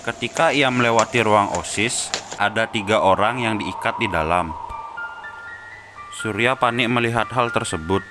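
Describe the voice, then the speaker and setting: A man's voice: on the low side, moderate pace, over music. A man delivering scripted narration for a story as its narrator.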